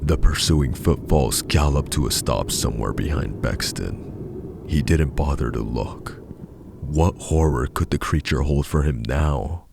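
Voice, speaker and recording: Extremely deep, gravelly male horror narrator. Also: measured pace, noisy background. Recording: music behind the voice.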